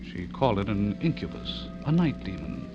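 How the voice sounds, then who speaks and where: Anxious young newspaperman, low voice, foreboding vintage radio drama narration, dull recording, prominent music behind. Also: sing-song, moderate pace. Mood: grave, fearful. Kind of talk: 1940s radio acting, first-person narration.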